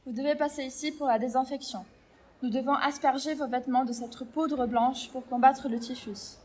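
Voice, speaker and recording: A woman playing a grave nurse in a French audio drama, speaking in a measured, sombre way. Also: sits high, steady tone, darkish tone, slight background noise, lightly acted. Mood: firm, instructing. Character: grave nurse reporting on weakened camp survivors.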